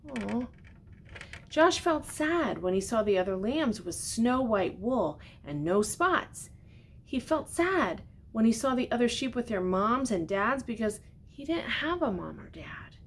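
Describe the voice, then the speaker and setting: An older woman reading a children's storybook aloud expressively, pausing for gentle, simply worded explanations. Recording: noticeable background noise with low rumble.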